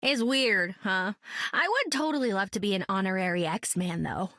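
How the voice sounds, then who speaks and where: Perky, bouncing young squirrel heroine. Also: female voice, medium pitch, expressive, moderate pace, fairly bright tone, no room sound, studio quality. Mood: cheery. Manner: chirpy, chatty. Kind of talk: video game character acting.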